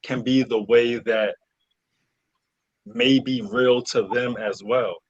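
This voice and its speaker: A man talking casually as an interview guest, halting and searching for words, often interrupting himself. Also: mid-range voice, fairly even intonation, no room sound, dull audio. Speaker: interview guest, cast member. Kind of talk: casual conversational interview talk.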